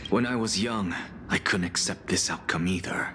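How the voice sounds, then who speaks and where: A man's voice: deep voice, moderate pace, fairly bright tone, slight background noise. A man voicing a proud, sardonic scholar and defiant alchemist in a video game, with a dry, theatrical, biting delivery. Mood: grave, reflective. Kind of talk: dramatic video game voice acting. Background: music.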